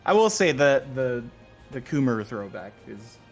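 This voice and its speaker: Someone chatting casually on a group stream in a halting way, repeating phrases as they go. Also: mid-range voice, sing-song, loud music behind the voice.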